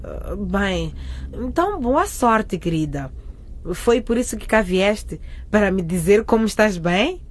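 A nosy teenage girl in a radio drama. She drawls slowly and swings widely in pitch, sardonic and dramatic with her friend. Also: very animated. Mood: dry, then alarmed. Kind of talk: scripted radio soap acting.

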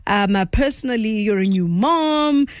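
A woman's voice: on the high side, animated, moderate pace, dry recording, clean studio sound. A South African woman hosting a casual radio interview in a chatty, encouraging way. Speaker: radio host.

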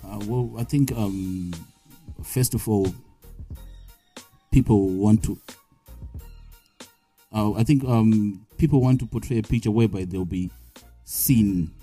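Hesitant deep-voiced Zimbabwean man. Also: slow pace, long pauses, warm tone, breathy edge, soft background music. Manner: hesitant, many fillers. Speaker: guest co-anchor. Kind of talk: casual discussion.

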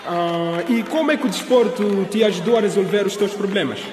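A man playing an excitable, projecting sports announcer at a school competition in a radio drama. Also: high voice, fairly quick, natural voice. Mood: upbeat, curious. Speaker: commentator. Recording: echoey venue, crowd and music behind.

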